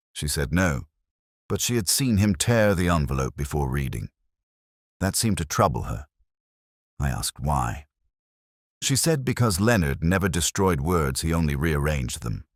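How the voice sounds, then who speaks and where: A man reading a first-person detective story as scripted narration, in a measured, literary storytelling manner. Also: rumbling low, no room sound, studio quality.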